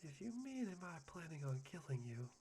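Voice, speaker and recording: A man playing a soft-spoken, eerily polite young man obsessed with hope, musing breathily in an ASMR roleplay. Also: on the high side, big pitch swings, a little fast, a little hiss or hum. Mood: polite curiosity. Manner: breathy, polite, musing, often near whispering.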